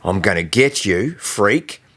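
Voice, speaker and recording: A man's voice: fairly low pitch, animated, takes it slowly, a bit bright, slightly rough, exaggerated voice. Sneering big schoolboy bully played by a man. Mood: menacing. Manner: sneering, malicious, threatening.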